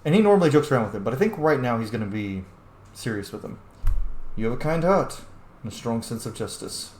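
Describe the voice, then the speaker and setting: Male gaming host, chatty and informal, very lively intonation, roomy sound, mixing commentary with roleplay. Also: mid-range voice, quick pace, slight background noise. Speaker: gamer, roleplay series host. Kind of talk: casual game commentary with in-character dialogue read aloud.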